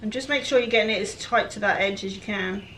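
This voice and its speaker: A woman with a croaky, raspy voice, chatting casually while she works through a furniture project. She sounds a little breathless and often lets her sentences trail off quietly. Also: medium pitch, quick pace, a little hiss or hum, rough recording. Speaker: furniture flipper. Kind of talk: casual how-to chat while working.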